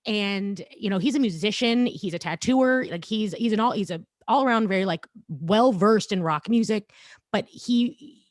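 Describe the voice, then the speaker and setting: A woman's voice: medium pitch, normal speed, no room sound, studio quality. A woman in her thirties, co-hosting a podcast, telling stories in a chatty way full of fillers. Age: 30s. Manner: chatty, storytelling with many likes and ums. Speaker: podcast co-host. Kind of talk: casual podcast chat.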